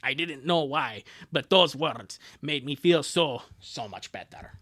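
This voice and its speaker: A man voicing a moody, defensive tomboy teenage big sister in a cartoon fan dub, blunt and halting. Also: mid-range voice, animated, fairly bright tone. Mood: soft, relieved.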